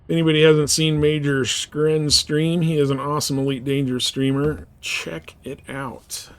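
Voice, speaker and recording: A man's voice: a little high, flat delivery, normal speed, a bit bright. A man chatting casually with viewers as he live streams a game. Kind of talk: casual chat over gameplay.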